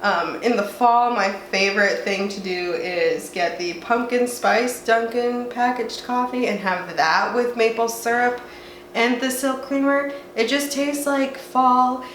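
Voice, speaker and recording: A young woman from the southwestern United States, talking in a chatty, rambling way with a congested voice from a cold, at home. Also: on the high side. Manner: chatty, rambling, self-mocking. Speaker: vlogger. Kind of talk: casual chat. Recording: roomy echo, faint room noise.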